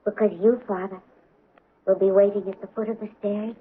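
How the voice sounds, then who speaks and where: An actress playing a fragile, haunted young bride-to-be in 1970s radio drama, gentle, hesitant and pleading. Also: female voice, mid-range voice, little pitch movement, brisk, slightly rough, lightly acted. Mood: dreamy. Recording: tinny, narrow 1970s radio recording.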